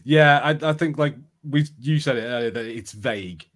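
A man's voice: medium pitch, normal speed, a bit bright. A man co-hosting a podcast, giving his opinions in a casual, conversational and tentative way. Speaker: podcast co-host. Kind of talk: casual chat.

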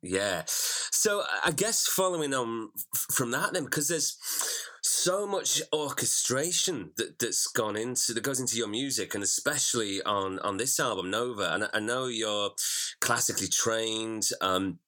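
Hesitant male interviewer, bright voice. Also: medium pitch, animated, unhurried. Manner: long hesitant questions with many fillers. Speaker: podcast interviewer. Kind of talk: casual interview.

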